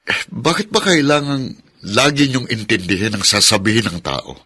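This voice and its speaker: A level-headed cousin in a Filipino radio drama. His low voice is husky yet forceful, and he reasons in a measured, admonishing way. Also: expressive, exaggerated voice. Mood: firm, reproving. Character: firm, reasonable male cousin defending a shamed relative.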